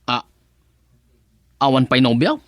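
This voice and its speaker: Man playing a jolly, slow-talking simple bachelor gardener. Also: medium pitch, expressive, fairly bright tone. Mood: teasing. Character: simple, jolly bachelor gardener fussing over his plants. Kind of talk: Ilocano comedy radio drama.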